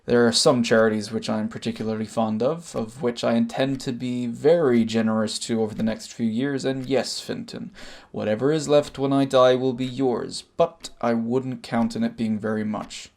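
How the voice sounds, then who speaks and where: An Irish man acting a composed, sardonic middle-aged emigrant in a stage drama, speaking in measured, formal phrases. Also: mid-range voice, steady tone, vocal fry, a little mellow, natural voice. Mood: firm, sardonic.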